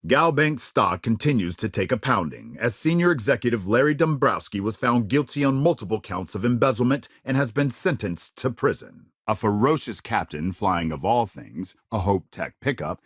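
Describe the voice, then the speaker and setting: Emphatic, animated male news anchor. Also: on the low side, slightly rough, no room sound, clean studio sound. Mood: dramatic, emphatic. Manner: measured, emphatic, grave newsreader phrasing. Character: polished, dramatic male TV news anchor of a space-age network. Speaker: news reporter. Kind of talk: scripted broadcast news delivery.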